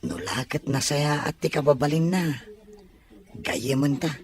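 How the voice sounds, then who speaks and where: A teasing, friendly young Filipino student lad acted out by a woman in an audio drama. The pitch is very low for a woman, with a chummy way of talking. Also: expressive, unhurried, darkish tone. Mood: amused, curious. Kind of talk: amateur Ilocano audio drama acting.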